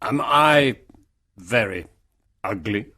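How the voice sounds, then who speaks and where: A woman voicing a big, ugly but kind male beast, slow and deep, in a storybook reading. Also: expressive, bright, forceful tone, husky, full character voice. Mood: pleading. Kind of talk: graded reader storybook narration.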